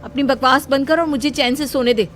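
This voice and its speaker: A woman's voice: high voice, a little fast, soft background music, pitched above the performer's own voice, natural voice. A woman voicing a bossy, irritable young office worker in a cartoon horror story, scolding and dismissive. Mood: irritated scolding. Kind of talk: animated Hindi horror story dubbing.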